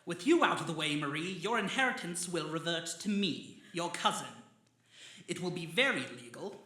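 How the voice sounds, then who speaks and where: An actor playing a cold, scheming killer cousin, theatrical and smugly menacing in old-time radio melodrama style. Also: male voice, on the high side, animated, moderate pace, bright, forceful tone. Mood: smug, calculating. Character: cold, scheming male murderer, gloating greedy cousin. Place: theatre stage before a live audience. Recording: echoey live stage recording.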